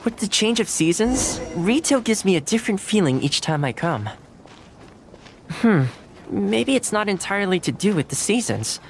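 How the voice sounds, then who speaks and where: An actor voicing a courteous, easygoing young male retainer in game dialogue, polite and gently humorous. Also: high voice, big pitch swings, moderate pace, creaky, slight background noise, heavily acted. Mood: heartfelt.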